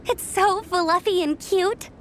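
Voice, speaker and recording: A woman's voice: extremely high pitch, slow pace, a little hiss or hum. A woman voicing a friendly, eager young female knight in a video game, perky and chatty. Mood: chatty, admiring. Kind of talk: animated video game voice acting.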